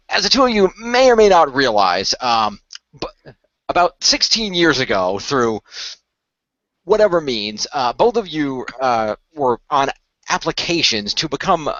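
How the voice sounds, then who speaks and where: A polite young man in a radio play voiced by a male narrator, eager and formal but hesitant. He explains himself apologetically, in a medium-pitched voice on a dry, slightly dull recording. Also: a bit bright, no echo.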